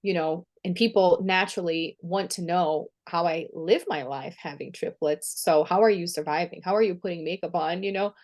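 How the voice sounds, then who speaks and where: Woman, thirties, lowish pitch, chatty and upbeat. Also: moderate pace, hardly any pauses, darkish tone. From Brazil. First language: Portuguese. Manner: upbeat, chatty, laughs easily. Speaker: guest, empowerment coach. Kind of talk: podcast interview.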